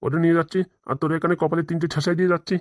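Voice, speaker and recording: A woman's voice: on the low side, fairly even intonation, racing, no room sound, studio quality, close to an everyday voice. A woman voicing a bullying, threatening male police officer in a comedy cartoon, commanding and sarcastic. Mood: menacing. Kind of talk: Bengali comedy cartoon dialogue.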